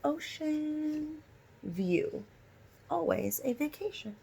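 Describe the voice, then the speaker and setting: A woman's voice: high voice, lively intonation, drawn-out words, creaky, noisy background. A woman teacher doing a storybook read-aloud for young children, instructing and asking them direct questions. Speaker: elementary school teacher.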